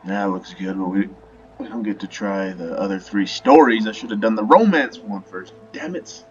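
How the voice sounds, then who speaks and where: A man's voice: lowish voice, moderate pace, dull audio. A man giving casual, offhand commentary while playing a video game, often in muttered asides. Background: game music.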